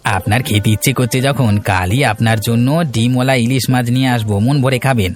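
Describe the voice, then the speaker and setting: A man's voice: medium pitch, fairly even intonation, a little fast, soft background music, natural voice. Sly cartoon village schemer, acted by a man. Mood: fawning, wheedling. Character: wheedling, bullying village schemer. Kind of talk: Bengali moral-story cartoon dubbing.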